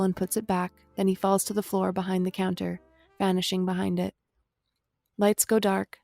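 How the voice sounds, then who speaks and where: A woman's voice: mid-range voice, warm tone, no echo. A woman reading scripted stage directions as the narrator of an audio drama.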